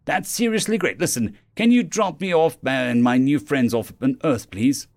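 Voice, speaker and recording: A man's voice: medium pitch, expressive, moderate pace, faint music behind, natural voice. A man voicing a brash, sarcastic human woman in a sci-fi audiobook, flippant and defiant, with casual speech.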